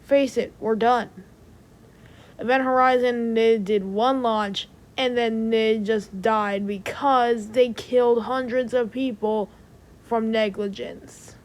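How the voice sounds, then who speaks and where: A fairly high voice plays a stressed rocket company staffer, acted by a woman. The delivery is naturalistic amateur audio drama, exasperated and somewhat hesitant. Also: fairly even intonation, slow pace. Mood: defeated, bitter.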